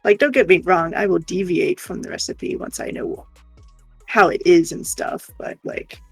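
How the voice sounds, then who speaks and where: Creaky-voiced woman chatting casually. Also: lowish voice, animated, moderate pace, dry recording, faint music behind. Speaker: livestream co-host. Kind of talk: casual chat.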